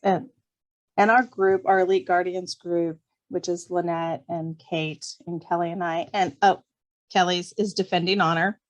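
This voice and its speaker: Chatty, expressive woman as podcast guest. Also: on the low side, measured pace, highs cut off. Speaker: podcast guest, novelist and kindergarten teacher. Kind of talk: casual chat.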